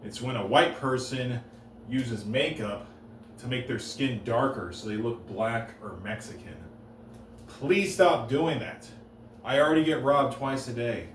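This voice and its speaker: A man doing deadpan one-liner stand-up comedy on a stage, halting and restarting between jokes. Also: mid-range voice, slight background noise. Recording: roomy live room, heard from a distance.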